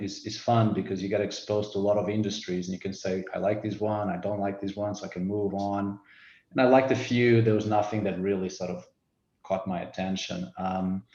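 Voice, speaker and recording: Low, flat-voiced Portuguese man. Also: reverberant, dull audio. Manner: thoughtful, hesitant with many fillers and restarts. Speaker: resort CEO guest. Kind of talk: interview answers.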